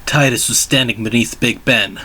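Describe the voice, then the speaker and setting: A man's voice: mid-range voice, normal speed, bright, forceful tone, breathy edge. A man giving a dramatic reading of a comedic story as narrator, with declarative storytelling delivery.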